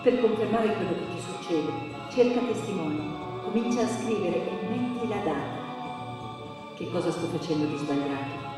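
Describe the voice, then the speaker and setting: An actress playing an anxious, self-doubting woman trapped with an abusive partner, in halting, confessional stage monologue. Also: lowish voice, animated, close to an everyday voice. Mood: urgent. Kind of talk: live theatrical monologue. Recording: echoey hall from far off, faint music.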